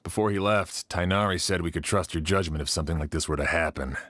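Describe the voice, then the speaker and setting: A man's voice: low voice, fast, fairly bright tone. An actor playing a serious, courteous male guard officer in a video game, speaking formally and politely. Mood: serious, puzzled.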